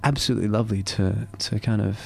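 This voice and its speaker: A man's voice: fairly low pitch, steady tone, fast, creaky. A man who is a guest on a radio show, speaking thoughtfully and unhurriedly with hesitant fillers. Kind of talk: relaxed interview talk.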